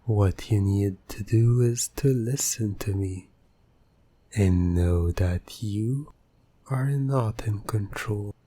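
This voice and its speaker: A man performing an intimate ASMR hypnosis roleplay as a controlling, seductive hypnotist, speaking in a hushed, commanding manner. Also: lowish voice, no room sound. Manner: slow, commanding, hushed, often slipping toward whispering. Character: controlling, seductive male hypnotist toying with a captive.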